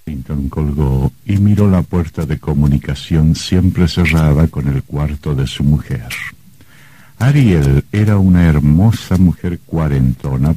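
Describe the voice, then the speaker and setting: A grave storyteller narrating a radio drama. His voice is extremely low, dark and raspy, with an ominous delivery. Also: no echo, heavily acted. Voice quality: deep, gravelly.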